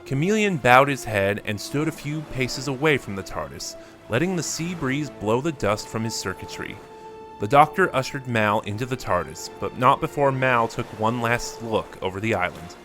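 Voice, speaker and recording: A man narrating a scripted story in a measured, steady reading. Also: medium pitch, faint music behind.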